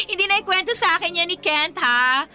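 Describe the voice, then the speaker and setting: A woman's voice: very high-pitched, expressive, normal speed, sharp tone, full character voice. A woman playing a jealous, hurt young girlfriend in a Filipino radio drama, confrontational and given to emotional outbursts. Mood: taunting.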